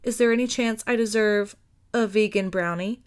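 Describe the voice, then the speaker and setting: A woman's voice: medium pitch, moderate pace, natural voice. A woman voicing a cheerful, goofy young man in an audiobook, playful and wheedling.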